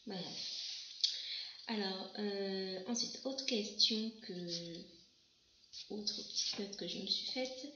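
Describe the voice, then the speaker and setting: A woman in her thirties from southwest France, chatting casually about herself in a vlog and pausing often on fillers. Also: medium pitch, a bit bright, echoey room, lo-fi sound, slight background noise. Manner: hesitant, many pauses and "euh" fillers. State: pregnant. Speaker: vlogger. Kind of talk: casual self-introduction vlog.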